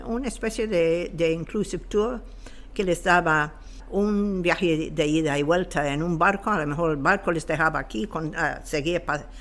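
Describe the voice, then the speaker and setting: Slow-speaking woman. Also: fairly low pitch. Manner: hesitant, halting, searching for words. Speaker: guest expert on local history. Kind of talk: unscripted explanatory talk.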